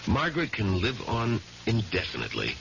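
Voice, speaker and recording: An actor playing a cautious family doctor with a deliberate, professional manner in a radio drama. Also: male voice, lowish voice, huge pitch swings, vocal fry, fairly bright tone, full character voice. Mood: careful, professional. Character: kindly, cautious male family doctor on house call. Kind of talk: 1970s radio drama acting. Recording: dull, noisy old radio recording.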